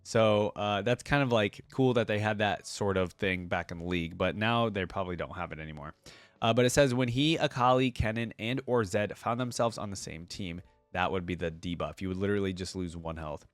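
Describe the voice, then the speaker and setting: A man's voice: low-pitched, a little fast, no room sound, soft background music. A man giving casual gaming reaction commentary as a video host, thinking aloud in an informal way.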